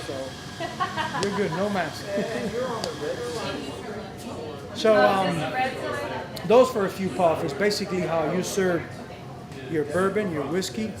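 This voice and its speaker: High-voiced man teaching, non-native speaker. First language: not English. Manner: repeats key points, instructive. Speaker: cigar shop host. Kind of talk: live instructional talk. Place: cigar shop. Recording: echoey room with crowd chatter.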